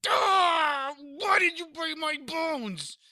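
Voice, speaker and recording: Shrill, whiny, cowardly demon. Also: male voice, expressive, drawn-out words, bright, forceful tone, no echo, studio quality, exaggerated voice. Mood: pained, pleading. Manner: whiny, hesitant, indignant. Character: cowardly, whiny, put-upon demon underling. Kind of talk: audio drama acting.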